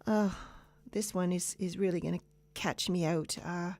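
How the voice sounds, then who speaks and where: Casting: Canadian woman as chatty radio presenter, some vocal fry, unscripted and informal, dry recording. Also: on the low side, fairly even intonation, a little slow, dark tone, dry recording. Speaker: radio show host.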